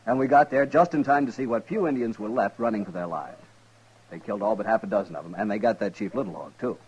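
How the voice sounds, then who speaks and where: A man's voice: lowish voice, fast talker, a little mellow, natural voice. An American actor playing a smug, callous, cowardly man in an old radio western. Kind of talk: 1950s radio western acting. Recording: dull, noisy old radio recording.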